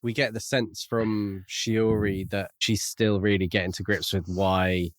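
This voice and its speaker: A man's voice: on the low side, flat delivery, measured pace, dry recording, clean studio sound. A man co-hosting a podcast, musing aloud about a book in a casual, conversational way. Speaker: podcast co-host. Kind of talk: book discussion, casual analytical chat.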